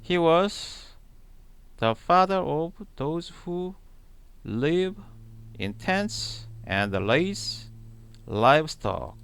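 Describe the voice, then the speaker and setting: A man reads scripture aloud extremely slowly, breaking it into short phrases with long pauses. His voice sits a little high, with a lively rise and fall in pitch. The delivery is halting and deliberate. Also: gravelly, smooth. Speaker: Bible reader, channel host. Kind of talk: slow read-aloud of scripture.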